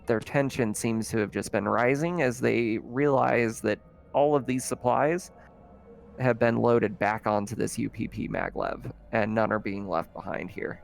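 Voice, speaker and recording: Creaky-voiced man running a tabletop game. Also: medium pitch, fairly even intonation, a little slow, faint music behind. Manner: casual, conversational. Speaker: game master. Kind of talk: improvised narration of a tabletop game.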